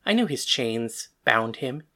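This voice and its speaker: Death god, narrating lead in audio drama: female actor, deep-pitched, brooding, bitter, defiant. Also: sing-song, unhurried, a bit bright, exaggerated voice. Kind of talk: first-person dramatic narration and dialogue.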